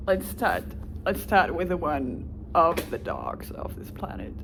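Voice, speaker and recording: German-accented woman, lowish voice, slow rambling self-introduction. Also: big pitch swings, vocal fry, background noise. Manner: rambling with self-corrections and light laughs. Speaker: volunteer applicant. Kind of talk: casual self-presentation.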